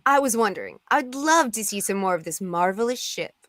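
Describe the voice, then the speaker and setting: A woman's voice: medium pitch, animated, a little fast, fairly bright tone, dry recording, clean studio sound, lightly acted. A woman playing a confident, flirtatious female archaeologist in a live script table read. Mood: friendly, teasing.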